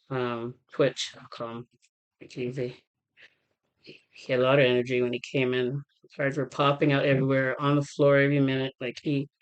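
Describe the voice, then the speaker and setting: A woman tarot reader talking casually to her viewers, halting with many fillers and restarts while getting over a cold. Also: rumbling low. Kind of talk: casual rambling vlog talk.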